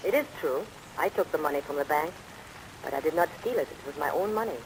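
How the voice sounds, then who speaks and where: Sultry, deadpan saloon woman. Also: low-pitched, fast talker. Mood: coy. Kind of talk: 1940s radio comedy acting. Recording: noisy old radio broadcast, rain overlaid.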